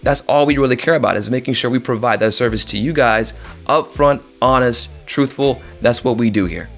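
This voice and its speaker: A man's voice: medium pitch, fairly quick, faint music behind. A man talking directly to viewers as a real estate agent, informal and conversational, repeating phrases for emphasis. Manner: conversational, addresses listeners directly.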